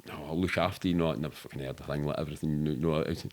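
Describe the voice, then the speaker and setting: A man's voice: very deep voice, steady tone, rapid-fire, a little mellow. A middle-aged man with a Glaswegian Scottish accent, telling anecdotes casually in a candid, rambling, sweary way. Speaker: podcast guest.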